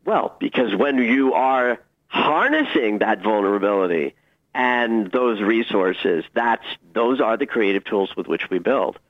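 A man speaking as a guest astrologer in a conversational radio interview, explaining things in an animated, emphatic way with expressive phrasing. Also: on the low side, measured pace, narrow, tinny audio.

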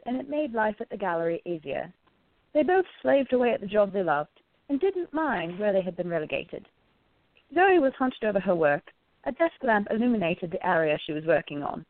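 Woman narrating a radio play from a script, tinny sound, no room echo. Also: medium pitch. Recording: tinny, phone-like narrow sound.

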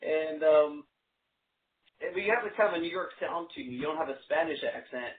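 A man's voice: on the high side, expressive, moderate pace. Chatty male host on a phone line. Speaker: interview host. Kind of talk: casual interview chat. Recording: tinny phone-line sound.

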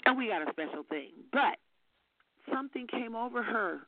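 An older woman minister, soft-spoken but fervent, telling a story as testimony on a call-in broadcast. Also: a little high, lively intonation, moderate pace, dry recording. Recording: phone-line audio.

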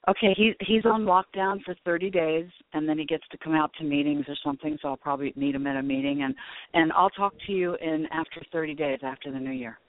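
A woman's voice: deep voice, fairly even intonation, fast talker, dry recording. A woman calling in to a radio show, chatty and grateful, laughing often. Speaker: caller. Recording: tinny phone line.

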